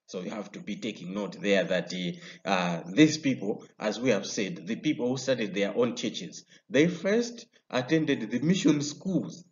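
A man with a Malawian English accent teaching a recorded history lesson over slides. He explains step by step and often pauses on "uh". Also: on the low side, lively intonation. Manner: explanatory, many "uh" fillers and restarts. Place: indoor room. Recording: slightly dull lo-fi sound.

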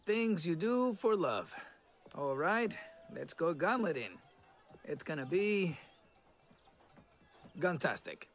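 A young man playing an anxious, wry prince in an animated film, with a self-doubting way of talking. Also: fairly high pitch, takes it slowly, long pauses, full character voice. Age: young adult. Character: anxious, wry twenty-year-old cartoon prince. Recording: long reverb, faint music.

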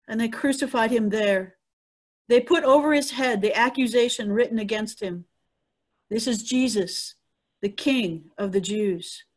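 A woman's voice: a little high, breathy edge. A woman playing an earnest female eyewitness to the crucifixion in a dramatic reading. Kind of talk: dramatic Easter scripture reading. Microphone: video call.

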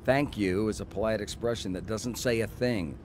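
A man's voice: lowish voice, normal speed, darkish tone, a little hiss or hum, natural voice. An actor playing an arrogant, cynical businessman older brother in a radio drama, lecturing bluntly and condescendingly.

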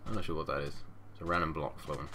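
Low-voiced young man, nasal from a cold. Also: steady tone, racing. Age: young adult. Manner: rambling, chatty, mumbles asides. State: sniffly, getting over a cold. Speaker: gaming channel host. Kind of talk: casual let's-play commentary. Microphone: headset. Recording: noisy home mic with keyboard clicks.

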